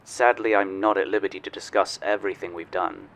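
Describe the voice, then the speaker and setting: A man's voice: deep voice, steady tone, fairly quick, fairly bright tone. A man playing a genial, wry researcher in a sci-fi audio drama, speaking politely and a little formally, with playful touches. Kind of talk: audio drama acting, conversational.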